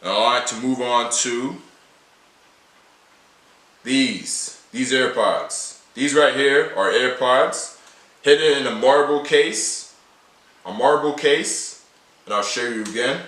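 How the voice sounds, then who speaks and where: A man's voice: mid-range voice, takes it slowly, pauses often, fairly bright tone, reverberant, recorded from a distance, poor audio. A nineteen-year-old man vlogging to camera from a table in a small room, rambling and repeating himself as he shows his everyday carry. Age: late teens. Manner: repetitive, rambling, restates points often. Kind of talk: casual to-camera vlog.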